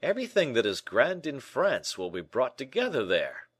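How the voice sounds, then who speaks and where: A man voicing a shrewd, brisk gentleman in an audiobook, animated, questioning and a little impatient. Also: medium pitch, husky, no echo, clean studio sound, voice pushed up. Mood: curious. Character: D'Artagnan, brisk, shrewd, exclaiming gentleman friend. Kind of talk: audiobook character acting.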